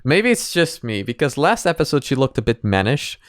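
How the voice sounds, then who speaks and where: A man with a mid-pitched, smooth and clean voice talks through his reactions casually. He rambles a little as he thinks aloud, in a dry, close recording. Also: very animated, fairly quick, no echo. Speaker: reaction video host.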